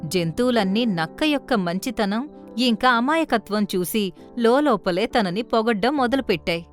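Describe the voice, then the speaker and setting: A woman's voice: on the high side, lively intonation, moderate pace, soft background music. A woman reading scripted narration for a children's story.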